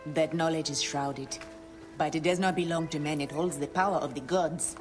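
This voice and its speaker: An actress playing a weary, guilt-ridden shopkeeper who speaks in a measured, guarded way with whispered drops in a game cutscene. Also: female voice, low voice, steady tone, over music, close to an everyday voice.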